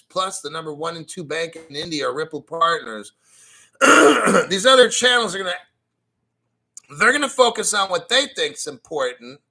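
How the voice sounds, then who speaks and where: A man hosts a casual crypto livestream in a sharp, bright voice that sits a little high. He rants bluntly and with plenty of profanity, mocks rival channels, and keeps clearing his throat as he reads out viewer comments. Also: rough recording. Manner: reads viewer chat donations aloud.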